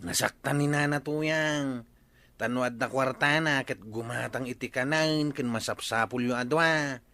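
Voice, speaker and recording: A man's voice: mid-range voice, measured pace, pushed and bright. A man voicing an earnest young villager who mediates a quarrel in a radio comedy drama.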